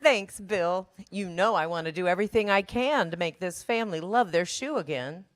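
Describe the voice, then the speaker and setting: A woman's voice: lowish voice, normal speed, faint music behind, natural voice. A woman playing an upbeat, take-charge home renovation designer in a scripted fairy-tale parody. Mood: businesslike, upbeat.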